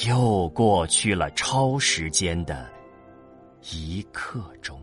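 A man narrating a science-fiction audio drama in a solemn, measured, dramatic way. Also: low voice, animated. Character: solemn storyteller of a cosmic tale. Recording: music behind the voice. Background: music.

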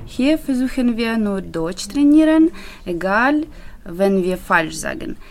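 Slow-spoken woman learning German. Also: mid-range voice, very animated, a little mellow. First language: not German. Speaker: course participant.

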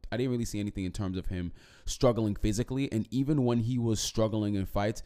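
A man co-hosting a podcast, chatting casually about a movie in a rambling way, with many restarts and a throat that is going hoarse. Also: lowish voice, fast talker. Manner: rambling, repeats and restarts, animated. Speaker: podcast co-host. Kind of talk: casual movie review chat.

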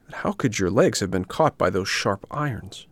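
A man plays a little storybook firefly with a kind heart and firm morals. It admonishes gently, with compassion, in a dry, close recording. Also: fairly low pitch, lively intonation, normal speed, dry recording. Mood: compassionate.

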